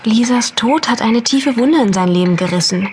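A woman's voice: on the high side, animated, moderate pace, noisy background, lightly acted. An actress playing a caring, loyal young woman in an audio drama, with a reassuring manner. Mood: soothing. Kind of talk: German audio drama acting.

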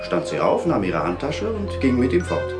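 An actor playing a terse, polite restaurant waiter in a German audio horror drama. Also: male voice, mid-range voice, wildly swooping pitch, moderate pace, loud music behind the voice. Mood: matter-of-fact.

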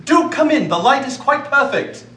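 An actor playing a gentle, devoted painter in a radio drama, earnest and reproachful. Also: male voice, very high-pitched, lively intonation, moderate pace, full character voice.

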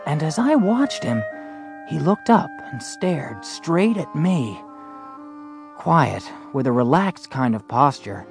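An earnest teenage boy narrating a western audio drama. The voice is a little high and moves around in pitch, plainspoken and thoughtful, with music behind it. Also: very animated, slow pace, exaggerated voice. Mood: reflective. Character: earnest fourteen-year-old western boy narrating his story. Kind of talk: first-person dramatized audiobook narration and dialogue.

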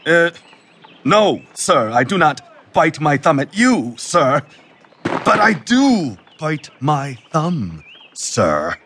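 Cocky, slow-swaggering young brawler. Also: male voice, fairly high pitch, big pitch swings, fairly bright tone, a little hiss or hum, full character voice. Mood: provoking. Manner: boastful, leering, chuckling, drawn-out emphatic delivery. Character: cocky, bawdy, brawling young Capulet servant. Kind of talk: Shakespearean audio drama.

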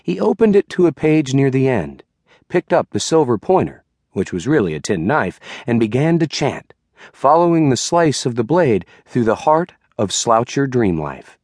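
A man's voice: medium pitch, big pitch swings, moderate pace, a little mellow, no room sound, clean studio sound. A man reading literary fiction as an audiobook narrator, in a scripted storytelling style.

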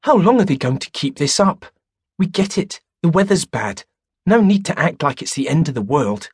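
A man's voice: a little high, sing-song, moderate pace, breathy edge, no room sound, clean studio sound, pitched above the performer's own voice, exaggerated voice. A man doing a weary, indifferent young man. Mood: weary dismissive. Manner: dismissive, resigned.

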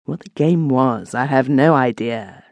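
A man's voice: medium pitch, measured pace, vocal fry, darkish tone, dry recording. Man narrating a classic ghost story, close and dry. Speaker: audiobook narrator.

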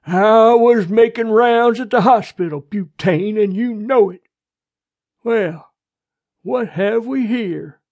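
An older, stooped small-town doctor and coroner, played by an audiobook narrator. The delivery is gruff, dry and irritated, slow and pushed up in pitch with some creak. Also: expressive, darkish tone, dull audio, heavily acted. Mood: testy.

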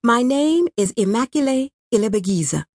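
A woman's voice: fairly high pitch, lively intonation, normal speed, darkish tone, no echo. Woman reading a memoir, close dry sound. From Rwanda. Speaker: author narrating own memoir. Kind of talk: scripted audiobook narration.

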